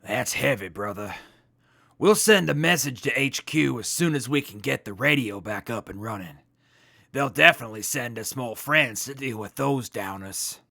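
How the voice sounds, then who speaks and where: A man acts out a cool beatnik squad leader for an audio drama. The voice is bright and creaky, recorded very dry. He spins everything in jive slang and stays unflappable. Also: mid-range voice, animated, normal speed, no echo, heavily acted. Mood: cool, confident. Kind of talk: post-apocalyptic audio drama acting.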